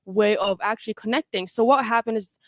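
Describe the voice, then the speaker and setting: A woman's voice: mid-range voice, brisk, no room sound, clean studio sound. An eighteen-year-old woman from the Philippines and Norway, chatting casually as an interview guest in a slangy, laid-back way. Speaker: guest, singer and independent artist. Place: radio studio.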